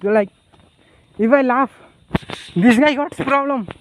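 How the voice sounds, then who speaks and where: A man with a Nepali accent speaking off the cuff in a personal monologue, halting and rambling, often repeating his phrases. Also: very high voice, noisy background. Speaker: vlogger.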